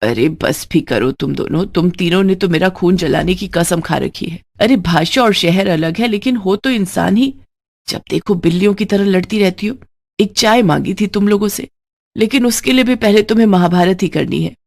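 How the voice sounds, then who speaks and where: A woman's voice: lowish voice, moderate pace, gravelly, a little mellow. A woman voicing a middle-aged mother-in-law, stern and exasperated, in a scolding manner for a moral story.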